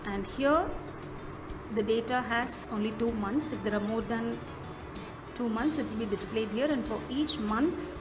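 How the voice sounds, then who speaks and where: A woman presents a software walkthrough in an instructional manner, with halting phrasing. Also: fairly high pitch. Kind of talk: step-by-step app walkthrough narration. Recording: music behind the voice. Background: clearly audible music.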